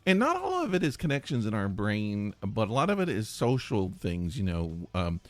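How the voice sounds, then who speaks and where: A man's voice: on the low side, huge pitch swings, normal speed, no room sound. A man co-hosting a podcast, chatting casually in a rambling way that often restarts sentences. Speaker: podcast co-host. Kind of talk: casual chat.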